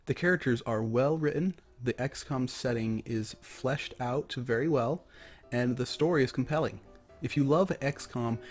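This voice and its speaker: A man's voice: medium pitch, a little mellow. A man narrating a story in a dramatic reading style. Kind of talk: dramatic reading of fan fiction. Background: music.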